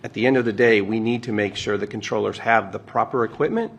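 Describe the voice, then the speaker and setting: A man's voice: on the low side, steady tone, quick pace, slightly rough, dull audio. A man giving an official's remarks at a press conference. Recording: faint background noise and ambience.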